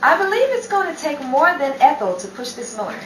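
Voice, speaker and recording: A perky, wisecracking secretary, acted by a woman in an old-time radio comedy. Her cheeky lines bounce widely in pitch with a sharp, bright ring. The sound is distant from the mic, in an echoey room. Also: sing-song, a little fast, slight background noise. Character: perky, cheeky young 1940s secretary assistant to a realtor. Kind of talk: 1940s radio drama acting.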